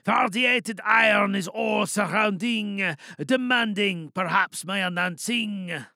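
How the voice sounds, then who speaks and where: Man putting on a high, grandiose, slow-speaking troll. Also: creaky, pushed and bright, dry recording, clean studio sound, heavily acted. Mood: outraged. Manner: declamatory rhymed verse, drawn-out words. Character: aggrieved old mountain troll, brooding, menacing, grandiose. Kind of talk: theatrical audio drama monologue.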